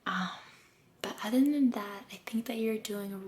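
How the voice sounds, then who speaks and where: A soft-spoken woman doing an ASMR spa role-play as an aesthetician, breathy and often whispering, gentle, soothing and encouraging. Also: mid-range voice, normal speed. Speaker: ASMR creator playing a med spa aesthetician.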